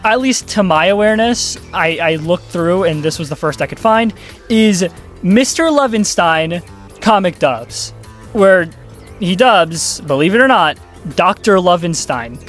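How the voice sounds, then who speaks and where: Higher-pitched sarcastic man rambling casually. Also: lively intonation, a little slow, a bit bright, soft background music. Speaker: YouTube commentator. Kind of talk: casual video commentary.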